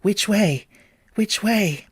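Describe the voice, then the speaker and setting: A man's voice: high voice, very slow, slightly rough, dry recording, studio quality, pitched above the performer's own voice, full character voice. A man voicing a curious little storybook girl who exclaims and scolds herself as she talks. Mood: anxious, wondering.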